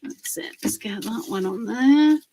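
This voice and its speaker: A woman, slightly hoarse from a cold, chatting casually on a livestream while she works on a craft project, pausing with fillers. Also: a little high, expressive, mellow, no echo. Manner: chatty, rambling, pauses with fillers while working.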